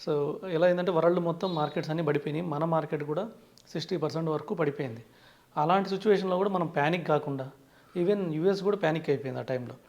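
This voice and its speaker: A man's voice: a little high, quick pace, a little mellow. A man with a Telugu accent speaking as a finance expert in an interview, explaining things with English terms mixed in and often starting sentences with "so". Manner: frequently opens sentences with "so".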